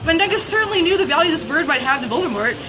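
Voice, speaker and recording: High-voiced woman reading theatrically amid street noise. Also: animated, racing. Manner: theatrical, playful mock-serious delivery. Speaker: reader of a fan zine. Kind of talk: dramatic reading of a humorous fan essay. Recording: noisy outdoor urban recording with traffic.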